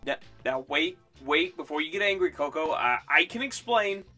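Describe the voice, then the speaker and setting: Man as a bright, goofy cartoon guy. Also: a little high, animated, normal speed, soft background music, full character voice. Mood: nervous pleading. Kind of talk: cartoon comic dub.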